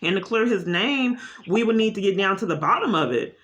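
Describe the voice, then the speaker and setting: A woman's voice: mid-range voice, lively intonation, brisk, fairly bright tone, lo-fi sound. A woman caller speaking unscripted in an insistent, long-winded complaint, often repeating herself.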